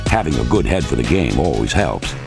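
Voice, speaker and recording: A man delivering scripted narration for a sports highlights film as the narrator. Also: sing-song, fairly quick, gravelly, music in the background. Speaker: documentary narrator.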